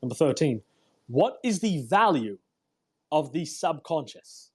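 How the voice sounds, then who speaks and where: A man with a husky voice hosting a read-aloud, delivering a book in an emphatic, deliberate way with informal commentary. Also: fairly high pitch, big pitch swings. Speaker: host reading a self-help book aloud. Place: live online audio room broadcast.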